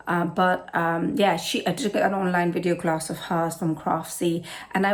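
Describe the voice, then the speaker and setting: A British woman with a hoarse, slightly low voice introduces her knitting and travel channel. She rambles casually and keeps correcting herself with plenty of ums and uhs. The sound comes from a simple, non-professional camera setup. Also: a little mellow. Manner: rambling, full of fillers and self-corrections.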